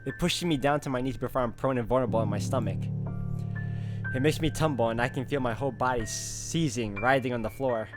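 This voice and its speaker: A man's voice: medium pitch, fairly quick. A man hosting a game playthrough, reading the story text dramatically aloud and exclaiming at it. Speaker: gaming video host. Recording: loud game music behind voice. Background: game music.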